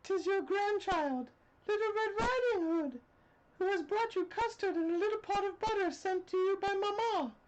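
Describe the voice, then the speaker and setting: A woman's voice: very high-pitched, normal speed, highs cut off, voice pushed up. A woman voicing an innocent, curious little country girl from a fairy tale, in a sing-song, wide-eyed manner. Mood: innocent, cheerful.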